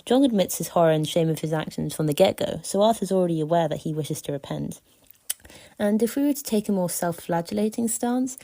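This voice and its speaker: A woman's voice: deep voice, mellow. A woman giving a prepared opinion in a voice message, articulate and wordy with playful drama. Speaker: listener sending voice message.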